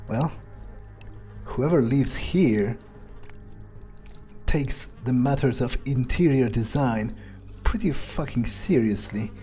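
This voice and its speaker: A man's voice: mid-range voice, slow, pauses often, dark tone, soft background music, close to an everyday voice. A man playing a jaded, foul-mouthed vampire who mutters wry remarks to himself during a video game playthrough. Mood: wry musing. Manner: muttering, wry, swearing often. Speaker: gaming video creator playing in character. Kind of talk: in-character game playthrough monologue.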